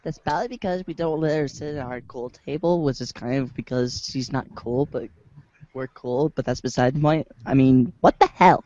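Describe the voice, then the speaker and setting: A man's voice: mid-range voice, moderate pace, darkish tone, dry recording, lo-fi sound, lightly acted. An amateur actor, a man, playing a sassy, slangy teenage boy as a drawling valley girl, in a reader's theater recording. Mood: smug.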